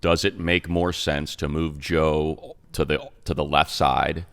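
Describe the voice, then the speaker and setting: A man's voice: extremely low pitch, flat delivery, takes it slowly, creaky, a bit bright, clear, resonant tone. An American man co-hosting a sports talk show, a radio announcer breaking down team news conversationally, thinking aloud with restarts. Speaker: radio play-by-play announcer and co-host.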